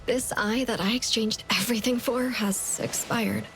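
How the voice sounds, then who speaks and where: An actress playing a wry, world-weary female hunter in a game, composed and deliberate in her speech. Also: fairly high pitch, steady tone, a little hiss or hum, lightly acted. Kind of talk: game character dialogue.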